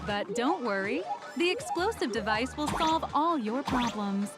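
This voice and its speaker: A woman's voice: high-pitched, big pitch swings, unhurried. A woman playing a peppy, theatrical corporate guide in a video game, explaining things like a showy presenter. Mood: cheerful, proud. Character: peppy female corporate guide to a robot-building experience. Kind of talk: scripted video game character acting. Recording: loud music under the voice.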